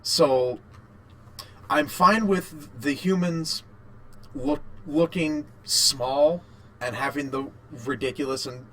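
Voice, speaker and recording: A man co-hosting a podcast, chatting casually like an enthusiastic fan in rambling, halting explanations. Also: on the high side, bright, forceful tone. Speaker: podcast co-host.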